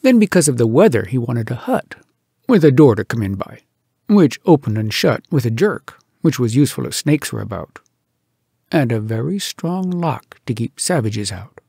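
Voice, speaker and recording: Husky, animated man reciting humorous verse. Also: mid-range voice, no room sound, studio quality. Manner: theatrical, animated delivery with dramatic pauses. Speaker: storyteller reading poems aloud. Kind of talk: expressive comic poetry recitation.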